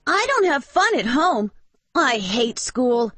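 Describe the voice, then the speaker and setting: A loud, wild ten-year-old boy. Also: big pitch swings, slow pace, breathy edge, no echo, heavily acted. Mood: excited chatter. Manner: shrill, childish chatter.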